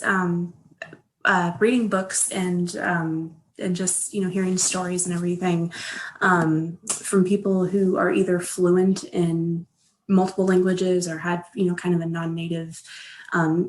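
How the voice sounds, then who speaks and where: Hesitant flat-voiced woman. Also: fairly low pitch. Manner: hesitant, many fillers. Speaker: audience member asking a question. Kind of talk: question at an online panel.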